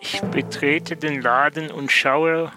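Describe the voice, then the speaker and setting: A man's voice: fairly high pitch, fairly even intonation, takes it slowly, music in the background, poor audio. A man improvising as a player in an audio role-play, describing what he does. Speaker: guest player, listener of the show.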